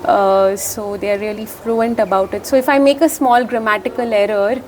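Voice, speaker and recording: A woman's voice: mid-range voice, fairly even intonation, normal speed, slight background noise. A young adult woman with an Indian English accent, a physician answering interview questions, hesitant, with many fillers and restarts.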